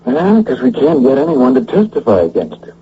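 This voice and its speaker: An actor playing a streetwise, wisecracking police detective in a radio drama, with colloquial talk. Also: male voice, on the high side, huge pitch swings, a little fast, husky, exaggerated voice. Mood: earnest, scheming. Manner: colloquial, hesitant then cocky. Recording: dull, humming old radio broadcast recording.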